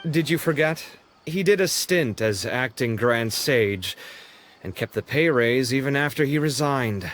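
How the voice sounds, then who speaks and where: A man's voice: mid-range voice, a little slow, big-room sound, slight background noise. An actor playing a friendly, chatty young male scholar in a video game. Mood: explanatory. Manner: chatty, animated. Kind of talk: scripted video game voice acting.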